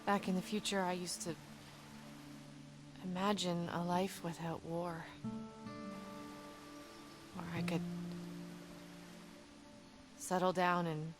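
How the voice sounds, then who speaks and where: An actor playing an earnest, battle-hardened resistance fighter from a ruined future in a video game cutscene, solemn and deliberate. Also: medium pitch, little pitch movement, music in the background, close to an everyday voice. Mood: solemn, wistful.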